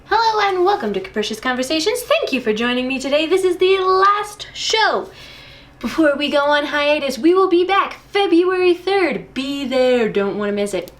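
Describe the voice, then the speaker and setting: A woman's voice: high voice, very animated, normal speed, soft background music. A young woman hosting a casual vlog show, talking to camera with bubbly enthusiasm. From United States. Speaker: host.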